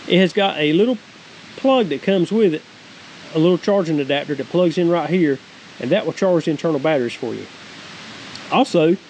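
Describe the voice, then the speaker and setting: A man's voice: on the high side, low-quality recording. A man in his forties with a Southern US accent, giving a casual, rambling, folksy product review as a homestead vlogger. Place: outdoors, wooded creek, then cabin deck. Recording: clear steady background hiss outdoors.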